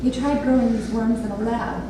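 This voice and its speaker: A woman's voice: a little high, fairly even intonation, moderate pace, close to an everyday voice. An actress playing an earnest, intense grown daughter of an abusive father in a staged play reading. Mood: reflective, earnest. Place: theatre lab room with an audience area. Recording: very echoey room, distant mic, noisy.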